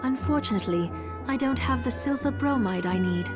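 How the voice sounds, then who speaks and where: A woman's voice: fairly high pitch, lively intonation, a little fast, lightly acted. A woman playing a composed, courteous but firm church merchant in a video game. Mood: businesslike. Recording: loud music behind the voice.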